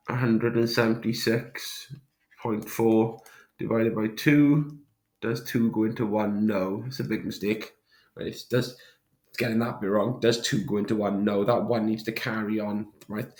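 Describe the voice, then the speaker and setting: A male maths teacher with a hoarse voice from a sore throat, talking students through a revision sheet step by step. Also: lowish voice. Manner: step-by-step explanations, checking with "right?". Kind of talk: recorded revision walkthrough.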